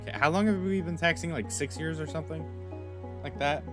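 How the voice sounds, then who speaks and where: A young man casually commenting on a game as he plays, reading its lines aloud and thinking out loud with fillers. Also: fairly high pitch, fairly quick, over music. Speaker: gaming YouTuber.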